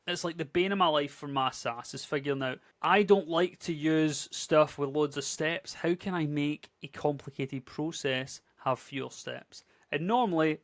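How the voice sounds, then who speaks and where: A man in his thirties with a Scottish accent, chatting casually and upbeat through a screen-share software tutorial. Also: on the high side, vocal fry, dry recording. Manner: chatty, upbeat, conversational with filler words. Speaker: tech YouTuber.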